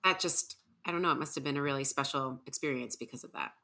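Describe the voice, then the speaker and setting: A woman's voice: rumbling low, fast talker, pushed and bright. A woman hosting an interview, affirming her guest and steering with follow-up questions. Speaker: interview host. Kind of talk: casual interview chat.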